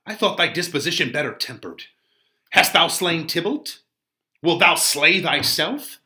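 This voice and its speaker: An exasperated friar acted out by a man in a dramatic Shakespeare reading. The voice is very bright and forceful, fairly high, and the delivery is declamatory and scolding. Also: exaggerated voice.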